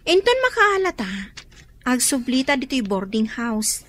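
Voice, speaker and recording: A woman's voice: sits high, big pitch swings, a little slow, fairly bright tone, full character voice. An actress playing a lazy young female student who complains and avoids farm work in a radio drama. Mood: whiny.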